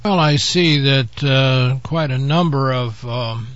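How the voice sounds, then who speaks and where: A man hosting his own show, hoarse from a head cold, rambling through an offhand monologue with frequent fillers. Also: medium pitch, slow, a bit bright, no room sound, lo-fi sound. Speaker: host. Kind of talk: casual talk-show monologue.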